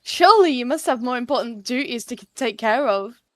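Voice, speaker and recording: A woman's voice: a little high, animated, fairly quick, no room sound, lightly acted. A woman playing a firm but loving she-cat, a mate and mother, in an animal roleplay. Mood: scolding. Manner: scolding, then softened.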